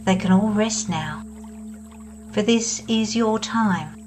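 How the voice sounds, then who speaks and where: A woman guiding a meditation in a soft, soothing voice, speaking in calm, unhurried phrases. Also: medium pitch, music in the background. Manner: soft, slow and soothing, with long pauses. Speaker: meditation guide. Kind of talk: guided meditation.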